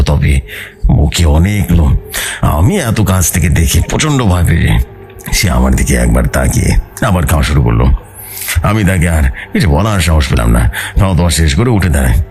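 A man's voice: very deep voice, very animated, normal speed, darkish tone, soft background music. A man narrating a horror story in the first person, in a dramatic storytelling style, as the narrator of an audio story.

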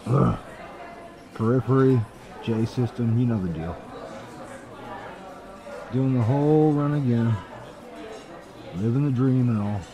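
A man's voice: mid-range voice, takes it slowly, long pauses, crowd noise, poor audio. A man playing a scruffy, weary space vagabond in an audio drama, muttering sardonically. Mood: weary, sardonic.

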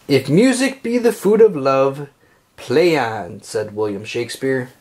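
A man reading a children's picture book aloud, phrasing it deliberately and expressively for young listeners. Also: mid-range voice, expressive, a little mellow.

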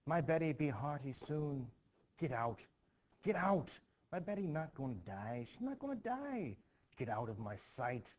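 A man's voice: a little high, big pitch swings, measured pace, darkish tone, voice pushed up. A man reading the part of an anxious, stern Puritan preacher and father, urgent and emphatic, in a stage play. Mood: panicked.

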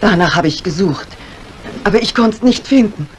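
An older woman acting a shrewd, composed crime writer in a 1950s radio mystery, speaking with deliberate, theatrical phrasing. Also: mid-range voice, expressive, slight background noise, close to an everyday voice. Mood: curious. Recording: vintage radio recording with music.

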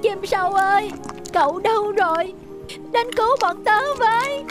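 A woman's voice: very high voice, animated, moderate pace. A woman voicing a leafy vegetable character in a children's cartoon, with music behind. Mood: pleading, distressed. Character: talking leafy cabbage plants, lazy then pleading for help.

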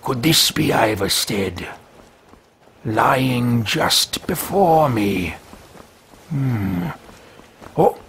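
A man's voice: mid-range voice, expressive, extremely slow, pauses often, fairly bright tone, slight background noise, full character voice. Man playing a pompous greedy mage. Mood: haughty. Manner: pompous, musing to himself. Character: haughty male mage-thief, self-talking, greedy. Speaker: let's play host. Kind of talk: in-character game roleplay. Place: home room with bookshelves.

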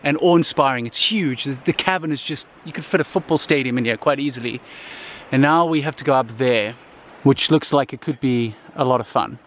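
A man in his thirties, vlogging with excitement while talking to a handheld camera in the jungle and inside a huge cave. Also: medium pitch, fairly quick, slight background noise. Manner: enthusiastic, excited, talks straight to handheld camera. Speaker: travel vlogger. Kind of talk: casual travel vlog. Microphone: handheld camera at arm's length.

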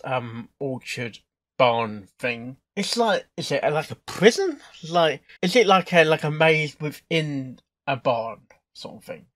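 A young man giving halting, casual reaction commentary as the host of a reaction video, muttering short interjections. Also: fairly high pitch, fairly bright tone, dry recording. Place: indoor room at a computer, headset on.

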